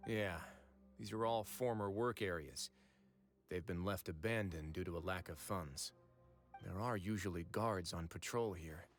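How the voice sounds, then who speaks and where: A man voicing a composed prison-fortress administrator in a video game, speaking in a measured, even way. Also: deep voice, soft background music. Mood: commanding.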